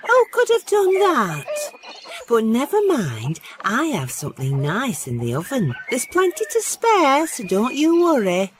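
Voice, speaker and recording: A shrill fretful farm woman, as a man puts her on. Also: wildly swooping pitch, a little slow, background noise, full character voice. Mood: kindly. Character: flustered farm woman, middle-aged.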